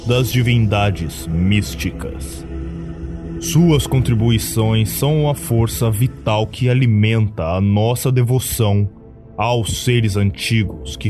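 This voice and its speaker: A man playing a solemn priest of a dark cult, speaking with grandiose, ceremonial reverence in a horror podcast. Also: on the low side, pitched below the performer's own voice, close to an everyday voice. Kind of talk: occult sermon in horror podcast. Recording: background music under voice.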